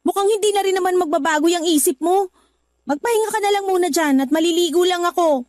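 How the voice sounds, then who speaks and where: A woman's voice: very high voice, fast, a little mellow, dry recording, studio quality. An actress playing a practical young woman in a Tagalog radio drama, teasing her partner and then scolding him for quitting his job. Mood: worried. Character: young woman working abroad, practical, a little irritated.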